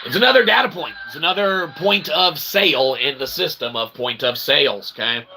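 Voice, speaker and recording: A man's voice: a little high, lively intonation, moderate pace, background noise. A man delivering an unscripted, profane opinion rant in an agitated, wordy, emphatic manner. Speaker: opinion vlogger.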